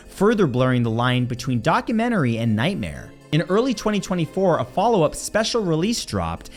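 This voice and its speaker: Male presenter, fairly high voice, very animated, suspenseful scripted list narration with emphatic phrasing, music underneath. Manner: suspenseful, emphatic delivery, slowing on key words. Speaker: presenter of a countdown list show.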